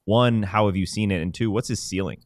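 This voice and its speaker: Male podcast co-host, deep clean voice, casual questioning, dry sound. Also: fairly quick, no echo.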